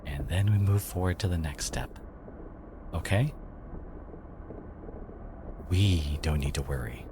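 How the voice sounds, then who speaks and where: A man acts out a devoted, protective boyfriend in an intimate ASMR audio drama. His voice is very low and slow, halting and often slipping into breathy whispers, full of feeling. There is clear background noise behind him. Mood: tender, reassuring. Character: devoted, protective boyfriend, tender, indignant and emotional.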